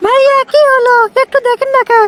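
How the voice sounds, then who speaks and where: A performer voicing a brisk, bossy village mother in a comic cartoon skit, with a squeaky voice and a Bogura accent from northern Bangladesh. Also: flat delivery, heavy background noise. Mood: impatient. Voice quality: very high, squeaky pitched-up voice.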